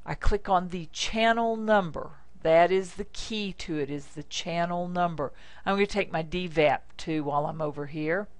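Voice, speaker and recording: An American woman demonstrating software step by step, speaking informally in short, deliberate instructional phrases. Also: lowish voice, dry recording. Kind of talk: step-by-step screencast tutorial narration.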